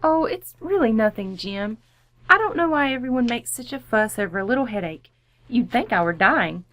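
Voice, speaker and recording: A woman's voice: on the high side, lively intonation, normal speed, a bit bright, dry recording. A woman playing a composed, dry-witted wife and mother in her thirties in an old-time radio family comedy. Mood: patient. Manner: wry, composed.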